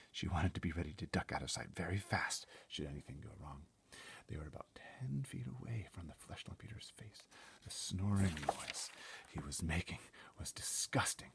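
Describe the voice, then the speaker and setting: A man's voice: extremely low pitch, gravelly. A man reading a children's story aloud as dramatic narration, theatrical and suspenseful, often dropping to a whisper. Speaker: storybook reader.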